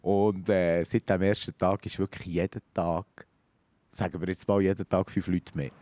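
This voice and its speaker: A man with a Swiss German accent talks in a fairly low voice. He answers interview questions in a chatty, cheerful way and laughs easily. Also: level tone, normal speed, mellow, a little hiss or hum. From Switzerland. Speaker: café owner.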